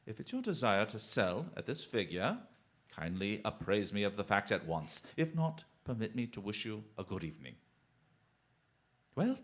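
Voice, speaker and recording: An actor playing a shrewd, commanding detective in a radio drama, speaking formally and deliberately with dry, theatrical mockery. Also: male voice, lowish voice, big pitch swings. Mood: cool, taunting. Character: shrewd, commanding male detective, coolly taunting and unflappable.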